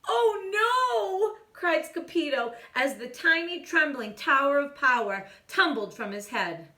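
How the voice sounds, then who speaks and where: A woman reading a children's picture book aloud in a theatrical way, with hushed asides and exclamations. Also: sits high, lively intonation, a little slow, roomy sound. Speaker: children's storytime reader.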